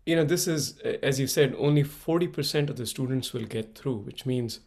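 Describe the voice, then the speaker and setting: A man's voice: mid-range voice, fairly quick, creaky, a little mellow. A man hosting an interview show, asking friendly, rambling questions. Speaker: podcast host.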